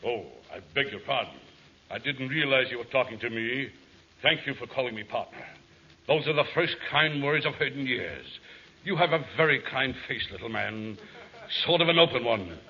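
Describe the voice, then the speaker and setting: A man's voice: medium pitch, expressive, moderate pace, pushed and bright. An actor playing a raggedy vagrant convict who tells his tragic life in florid, theatrical speech, in 1940s radio comedy style. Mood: pompous, wistful. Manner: florid, theatrical, formal diction. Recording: muffled old radio broadcast recording.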